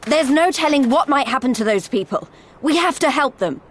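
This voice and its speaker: A woman's voice: high voice, lively intonation, brisk. A woman playing an earnest, impulsive young female fighter in a video game, speaking directly and plainly. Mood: indignant. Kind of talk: video game cutscene acting.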